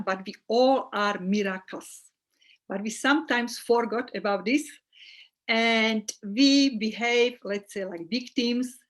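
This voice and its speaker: A middle-aged woman from Slovakia with a Slovak accent, a holistic well-being coach talking earnestly as a guest in an online interview. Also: medium pitch. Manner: earnest, with small restarts and fillers. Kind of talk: casual interview chat.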